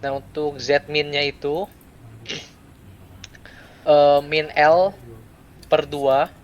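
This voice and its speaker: A young adult man gives a step-by-step software tutorial, speaking in short, halting phrases with pauses. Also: a little high, little pitch movement, dull audio. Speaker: student presenter giving a software tutorial. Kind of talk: step-by-step screen tutorial, unscripted. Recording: clear background noise.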